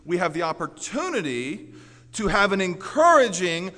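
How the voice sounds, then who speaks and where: A man's voice: high voice, very animated, normal speed. An American man preaching a sermon as a pastor, earnest and emphatic in delivery. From Orlando, Florida, United States. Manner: earnest, emphatic, swinging from forceful declarations to quieter storytelling. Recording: reverberant large hall.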